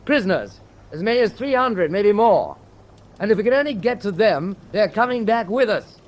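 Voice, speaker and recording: High-voiced, resolute British naval officer. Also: male voice, very animated, normal speed. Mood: resolute, businesslike. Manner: crisp, orderly, authoritative briefing. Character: resolute male British naval officer briefing his men. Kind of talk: war radio drama acting. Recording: faint background noise.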